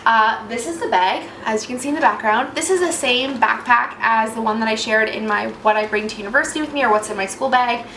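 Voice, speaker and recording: A woman in her twenties with a slightly raspy voice from a cold, chatting casually and rambling a little. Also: on the high side, fairly quick, hardly any pauses, sharp tone. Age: 20s. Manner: chatty, rambling, self-deprecating. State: fighting off a cold. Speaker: vlogger. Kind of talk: casual chat. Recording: echoey room with faint noise.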